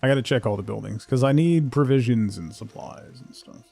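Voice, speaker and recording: A man's voice: mid-range voice, a little fast, vocal fry, warm tone, breathy edge, faint music behind. A man giving casual live game commentary, playfully muttering asides and short exclamations as he chats to viewers. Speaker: game streamer.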